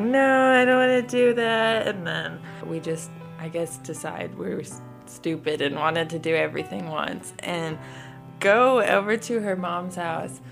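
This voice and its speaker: Young woman, gravelly low voice. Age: 20s. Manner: casual storytelling with many "like" fillers. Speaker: interviewee. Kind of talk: personal testimony.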